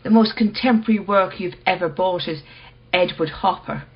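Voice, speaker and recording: An actress playing a cool, probing woman who will not budge, measured and blunt, in a radio play. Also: on the low side, muffled recording, natural voice. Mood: challenging. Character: cool, probing, unyielding female owner of rare mirror.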